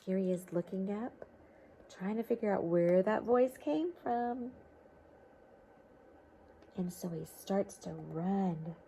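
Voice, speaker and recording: Expressive, unhurried woman reading to children. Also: mid-range voice, gravelly, a little hiss or hum. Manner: simple encouraging explanations with checking questions. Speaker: storybook reader for children. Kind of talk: expressive picture-book read-aloud with chatty asides to young listeners.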